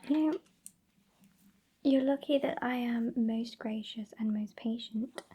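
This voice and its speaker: A woman's voice: a little high, steady tone, slow, full character voice. A woman playing a haughty, sassy female vampire with a British accent in a whispered ASMR roleplay, condescending and exasperated. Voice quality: whispery, breathy. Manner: condescending, exasperated, softly scolding. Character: sassy, haughty old female vampire scolding her fledgling.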